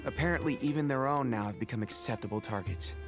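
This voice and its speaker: Guy acting level-headed merc scout in a game, medium pitch, earnest and measured. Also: music in the background, close to an everyday voice. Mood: grave. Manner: measured, explanatory.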